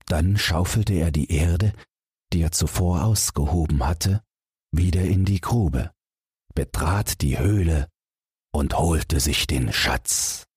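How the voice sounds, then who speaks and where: A man with a deep, very breathy, husky voice narrates a bedtime fairy tale. He reads the scripted story at a slow pace, in a dry, close recording. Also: a little mellow, dry recording. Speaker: audiobook narrator.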